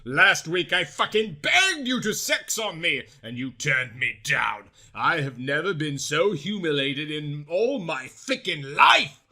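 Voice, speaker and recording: A man's voice: fairly high pitch, very animated, slow, hardly any pauses, sharp tone, full character voice. A man voicing a sarcastic, foul-mouthed teenage girl with indignant, slangy delivery in a parody story. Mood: furious.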